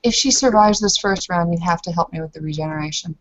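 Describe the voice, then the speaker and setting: A woman's voice: fairly low pitch, fast talker, no echo. A woman chatting casually as a player in a tabletop roleplaying game, heard over lo-fi voice chat.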